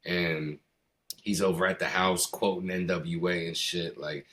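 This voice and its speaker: Brief: middle-aged American man, hip hop artist, very low voice, slangy and loose interview talk. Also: level tone, a little slow, a bit bright. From US East Coast. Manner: slangy, rambling, peppered with "you know" and "man". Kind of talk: casual conversation.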